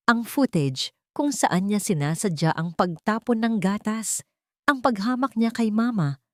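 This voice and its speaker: Female narrator, lower register, dramatic scripted storytelling, dry studio-like sound. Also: a little mellow, no echo.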